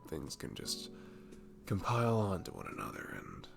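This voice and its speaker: A man playing a sweet, goofy, comforting barista in an intimate ASMR roleplay, speaking softly and haltingly with a faint Southern US accent. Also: deep voice, sing-song, creaky, faint music behind, heavily acted. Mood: gentle, consoling. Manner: soft, halting, chuckling, drifting into near-whispers. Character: cute, goofy, comforting young male barista, sweet and self-deprecating.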